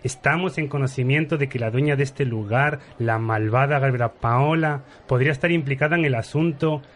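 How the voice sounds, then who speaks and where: A man's voice: mid-range voice, fairly quick, slight background noise, natural voice. A man playing a pompous, gossipy viscount with formal, ornate speech in a parody radio soap opera. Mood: conspiratorial. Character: pompous, gossipy male viscount, government right-hand man.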